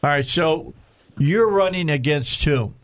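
A man's voice: on the high side, animated, unhurried. Folksy older male radio host. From Arkansas. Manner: folksy, chatty and joking. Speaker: radio talk show host. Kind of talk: casual radio interview. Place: radio studio.

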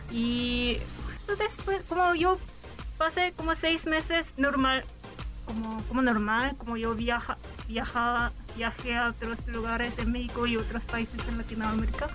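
A young woman from South Korea talks in careful, halting Spanish with a Korean accent, often stopping to find the word and letting out small shy laughs. Her voice sits a touch high, and soft music plays faintly underneath the radio interview. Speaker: guest reporter.

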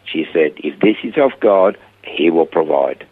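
Gravelly older Swiss-accented man spinning a story. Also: rumbling low, measured pace. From Switzerland. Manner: lively storyteller who acts out the quoted voices. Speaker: guest. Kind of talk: storytelling in a radio interview. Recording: narrow phone-like radio sound.

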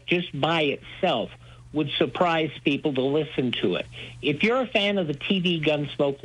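A man's voice: a little high, a bit bright, breathy edge, poor audio. A man talking enthusiastically as a radio host being interviewed, with an emphatic, folksy storytelling manner. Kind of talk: enthusiastic interview talk about old-time radio.

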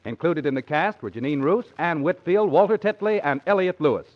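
A man's voice: a little high, expressive, fairly quick. A man with an American accent announcing credits and adverts in 1940s radio style. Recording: muffled 1940s radio recording with music.

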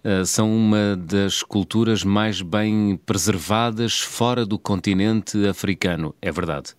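A man with a European Portuguese accent hosting an interview, friendly and quick to laugh, with short backchannel replies. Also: lowish voice, clean tone, no room sound. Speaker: podcast host. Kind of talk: conversational interview.

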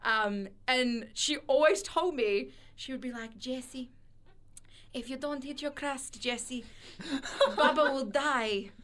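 A young Australian woman hosting a casual radio show, telling stories in an animated, giggly way. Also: sits high, slow pace.

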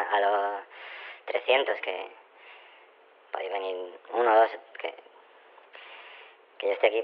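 Through a narrow, tinny phone line comes a male actor as a lonely, meek scientist in an audio drama. His voice is low and he rambles haltingly, apologetic and pleading for company. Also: natural voice. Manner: halting, rambling, self-effacing. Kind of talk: voicemail message in an audio fiction.

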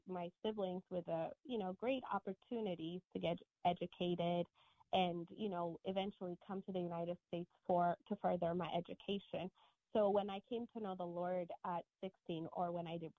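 A woman's voice: on the low side, no room sound. Ethiopian-born woman on a tinny line. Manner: earnest, measured, with many restarts. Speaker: guest author. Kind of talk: radio interview answers. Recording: tinny phone-line sound, dry.

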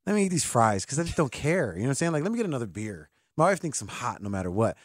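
Man in his thirties, sports radio host, teasing banter, lively pitch, dry studio mic. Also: racing, no echo. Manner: joking, animated, teasing. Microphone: studio microphone.

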